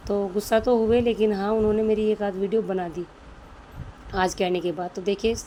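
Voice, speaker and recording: A woman's voice: medium pitch, warm tone, a little hiss or hum, rough recording. A woman vlogger with a sore throat, chatting homely and directly to her viewers about her day. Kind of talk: casual home vlog voice-over.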